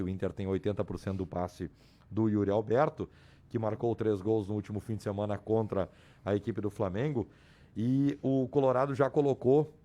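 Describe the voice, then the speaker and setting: A man with a hoarse voice, reporting club sports news on a radio show in an explanatory way. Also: lowish voice, dark tone. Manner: explanatory, restarts and repeats names. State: hoarse, losing his voice. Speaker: sports commentator.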